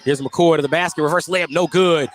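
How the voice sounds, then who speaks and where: An American man doing live play-by-play basketball radio commentary, animated on big plays and conversational in between. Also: on the high side, brisk. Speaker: play-by-play sports announcer. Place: indoor basketball gym during a game. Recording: clearly noisy gym background.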